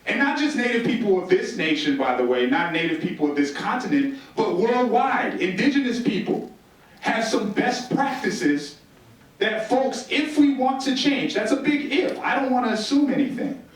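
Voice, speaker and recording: High-voiced American man speaking in an echoey room. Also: big pitch swings, normal speed. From United States, Northern Plains. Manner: conversational, rhetorical repetition, wry humour. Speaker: public speaker, storyteller. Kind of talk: live conference talk, informal storytelling. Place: indoor stage talk in a roomy venue. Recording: roomy echo, distant microphone.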